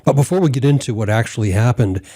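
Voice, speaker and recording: A man from the United States hosting a conversational interview in a measured, deliberate way. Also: medium pitch, warm tone. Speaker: interviewer, host.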